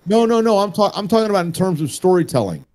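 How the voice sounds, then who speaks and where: A man's voice: high-pitched, very animated, quick pace, a little mellow. A man in a casual panel chat, talking in an emphatic, opinionated way and swearing freely. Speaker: podcast panelist.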